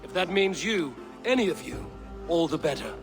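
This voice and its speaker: An actor playing a proud, steady Viking clan leader in a video game, speaking with formal, measured oratory. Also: male voice, high voice. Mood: firm, annoyed. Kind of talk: video game cutscene acting. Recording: long hall reverb, music behind.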